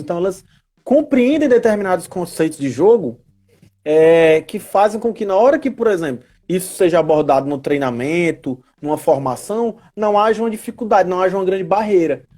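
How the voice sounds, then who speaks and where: A Brazilian guest expert talks in a relaxed live discussion. The pitch is medium, and the delivery is didactic and emphatic, with key words stretched out for weight. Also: a little fast. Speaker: guest expert on role-playing games in education. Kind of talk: casual live-stream discussion, explanatory.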